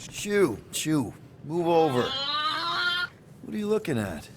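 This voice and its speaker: An actor playing a casual, wisecracking space adventurer in a video game, with an informal, flippant way of talking. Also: male voice, on the high side, big pitch swings, takes it slowly, noisy background. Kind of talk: video game cutscene acting.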